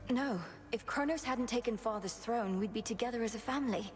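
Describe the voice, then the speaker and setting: A woman playing an earnest, determined young underworld princess and witch in a game, speaking formally and with measured care. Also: on the high side, background noise, natural voice. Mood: resolute, bitter. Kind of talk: scripted game acting.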